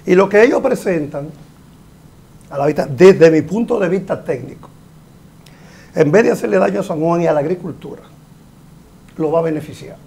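A man's voice: a little high, moderate pace, gravelly, pauses often, warm tone. A middle-aged man, a hydraulic engineer explaining a mining project as a guest in a TV studio interview, speaking emphatically with a lapel mic. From Dominican Republic. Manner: explanatory, emphatic, gestures with hands.

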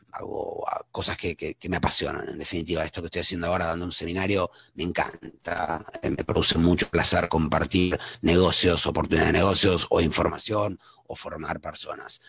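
A man's voice: sits low, creaky, husky, no echo, clean studio sound. A man, presenting a live online seminar in a conversational way with frequent fillers and restarts. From Argentina. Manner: conversational, self-introducing, many fillers and restarts. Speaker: webinar presenter.

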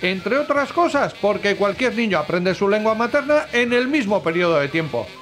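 A man giving scripted documentary narration in an expressive, rhetorical way. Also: sits high, moderate pace. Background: loud music.

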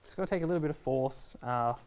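A young man presents a how-to guide in a casual voice. He explains each step in an easygoing, reassuring manner, at a medium pitch. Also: quick pace, a little mellow, slight background noise. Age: 20s. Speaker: product reviewer and presenter. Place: indoor shop video studio, standing at a table.

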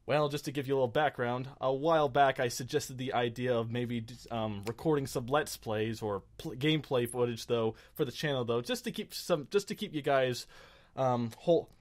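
A man hosts a casual gaming show with no script. His voice sits at an average pitch. He rambles and stammers along, poking fun at himself. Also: normal speed. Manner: rambling, self-deprecating, stammering. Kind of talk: unscripted casual chat.

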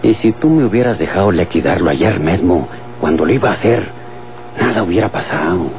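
A man's voice: low voice, animated, brisk, a little hiss or hum. An actor playing a stubborn, blunt country henchman with a rural Mexican accent in an old radio drama. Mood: insistent, bitter. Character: blunt, stubborn, accusing rustic cowboy henchman, male. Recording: narrow, tinny old radio recording, music behind.

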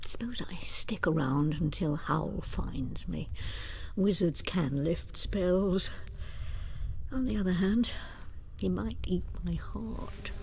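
A woman's voice: lowish voice, slow, gravelly, darkish tone, a little hiss or hum, poor audio. An actress playing a feisty, grumbling old woman in a radio drama, indignant and muttering. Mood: weary, muttering.

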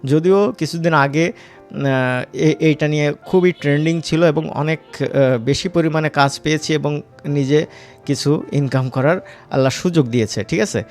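A man with a Bangladeshi accent giving a casual, informal software tutorial as an instructor, mixing in English words. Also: a little high, moderate pace, soft background music.

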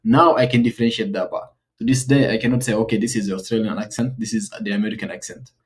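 A man's voice: fairly low pitch, steady tone, fast talker. A young man in his twenties with an African accent, talking in a casual, earnest, wondering way as he reacts to a comedy clip. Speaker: reaction video host. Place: small room indoors.

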